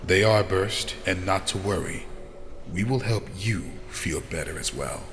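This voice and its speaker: A man playing a composed, reassuring elder in an audio drama, with a measured and authoritative way of speaking. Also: deep voice, fairly bright tone. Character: composed, reassuring male elder of a hive-mind family. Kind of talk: scripted fan audio drama acting. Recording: reverberant hall, faint noise.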